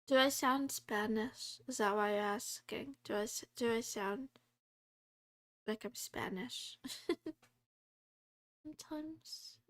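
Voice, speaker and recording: A woman's voice: on the high side, slow pace, long pauses, fairly bright tone. A woman live-streaming while she codes, chatting casually and rambling with lots of fillers, talking to her viewers and laughing often.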